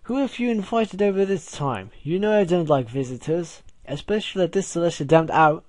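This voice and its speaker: A man's voice: a little high, expressive, brisk, darkish tone, no echo, voice pushed up, close to an everyday voice. A man voicing a prim, anxious female pony from a story, with an attempted British accent and a fussy, indignant manner. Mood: fussy, annoyed.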